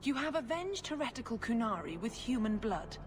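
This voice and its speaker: An actress voicing a scheming, zealous priestess in a video game, with a formal, controlled and sanctimonious manner. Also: female voice, a little high, sing-song, fairly quick, over music. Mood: cold, smug. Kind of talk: dramatic video game acting. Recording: loud ambient noise behind.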